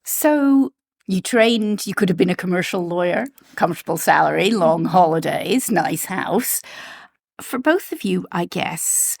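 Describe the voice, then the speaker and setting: A woman's voice: fairly low pitch, expressive, a little slow, gravelly. Inquisitive woman podcast host. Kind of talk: scripted narration and interviewing.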